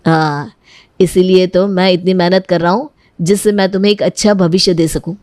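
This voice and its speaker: A woman's voice: lowish voice, fairly even intonation, moderate pace, darkish tone, no room sound, studio quality, lightly acted. A woman voicing an earnest, evasive young man in a cartoon family story. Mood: earnest, firm. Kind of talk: cartoon moral-story dialogue.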